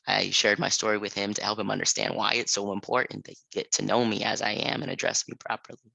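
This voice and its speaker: Gravelly-voiced man, calm and measured. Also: on the low side, no room sound, poor audio. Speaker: panelist. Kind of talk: reading a personal story. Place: library event room.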